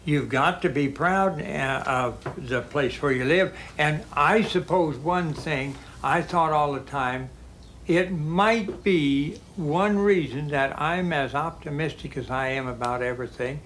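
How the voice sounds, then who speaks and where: A man's voice: a little high, takes it slowly, fairly bright tone, slight background noise. A man in his seventies with a rural Kansas accent, a farmer reminiscing in a folksy, plain-spoken way. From Kansas, United States. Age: 70s. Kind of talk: casual interview reminiscence.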